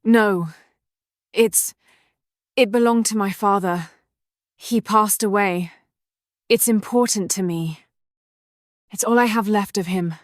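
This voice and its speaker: A woman's voice: medium pitch, slow pace, long pauses, dry recording, clean studio sound, natural voice. A woman playing a guarded English adventurer. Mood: sad. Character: determined English woman adventurer searching for her late father's artifact. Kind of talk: amateur animated voice acting.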